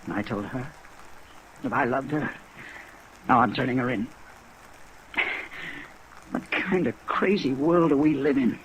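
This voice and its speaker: Man acting a troubled, bewildered lover, medium-pitched. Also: a little slow, pauses often, very noisy, close to an everyday voice. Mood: anguished, bewildered. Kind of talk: 1950s radio drama acting. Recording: vintage radio recording, faint rain.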